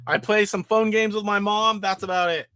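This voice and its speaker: Man, sick, nasally, high bright voice, dry close sound, casual rambling game demo. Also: fairly even intonation, normal speed, no echo. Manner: rambling, demonstrating games live. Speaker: retro gaming show host. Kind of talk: casual live stream chat.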